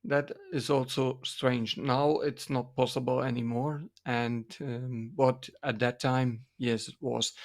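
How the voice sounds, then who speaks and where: A middle-aged or older man, a native Dutch speaker, talking casually on a podcast and searching for his words in English. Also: mid-range voice. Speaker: podcast co-host, dance teacher and coach.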